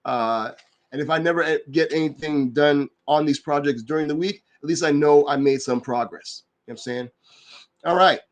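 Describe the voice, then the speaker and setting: A man who is getting over a cold and sounds a little nasal, hosting a live online work session and explaining its features in a relaxed, rambling way full of fillers. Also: a little high, normal speed. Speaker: livestream host and developer advocate. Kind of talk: casual explanatory livestream talk.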